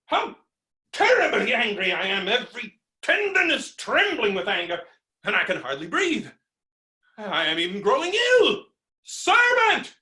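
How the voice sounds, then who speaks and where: Hot-headed ranting creditor in a stage farce, really forceful and piercing, echoey room. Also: male voice, high voice, huge pitch swings, measured pace, full character voice. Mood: raging. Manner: ranting, exclamatory, exasperated, melodramatic. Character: blustering, hot-tempered male creditor, unkempt and indignant. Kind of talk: theatrical staged reading of a stage comedy. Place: indoor room, recorded remotely. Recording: roomy, echoing virtual staged-reading recording.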